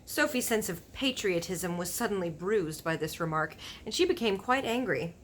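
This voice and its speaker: A woman reading a children's story aloud as narrator, expressive, with informal asides. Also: on the low side, normal speed. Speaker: storybook reader.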